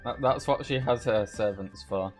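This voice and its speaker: Male gamer, mid-pitched, offhand live commentary over audible game music. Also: normal speed. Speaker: livestream gamer.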